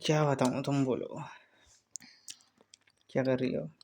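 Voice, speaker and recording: A man in a casual phone chat, rambling and teasing in a drawn-out way. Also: mid-range voice, flat delivery, vocal fry, a little mellow, slight background noise. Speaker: caller in a couple's phone chat. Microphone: phone call.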